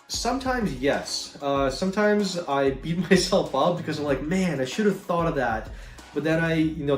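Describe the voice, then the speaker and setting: A young man from Ukraine with a Canadian accent and a slight Slavic tinge, chatting casually as he answers viewer questions with lots of fillers. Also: on the high side. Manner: relaxed and chatty, many fillers. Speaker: gaming YouTuber. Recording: roomy echo, a bit far from mic, faint music.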